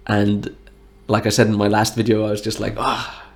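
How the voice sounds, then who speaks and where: A man's voice: on the low side, monotone, moderate pace. A nasal-sounding man with the flu and a stuffy nose, talking frankly and reflectively in a rambling personal monologue. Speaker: health vlogger.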